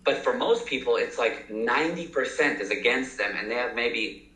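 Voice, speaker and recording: A man's voice: mid-range voice, normal speed, pushed and bright. A man in his thirties with a mixed American and South African accent, telling his story as a guest in an upbeat, talkative way. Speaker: entrepreneur guest. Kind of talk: casual interview talk. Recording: echoey room.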